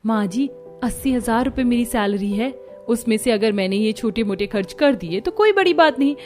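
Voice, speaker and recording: A woman voicing a bossy, self-assured young career woman in a cartoon family story, brisk and dismissive. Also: on the high side, expressive, darkish tone, soft background music, close to an everyday voice. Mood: entitled, dismissive. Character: young working sister-in-law, haughty, bossy, self-assured.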